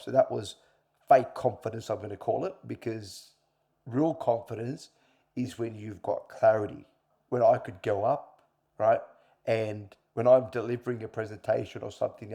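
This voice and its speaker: A man's voice: lowish voice, creaky, dark tone. A middle-aged man answering interview questions earnestly, explaining with examples and repeating points for emphasis. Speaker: interview guest, coach.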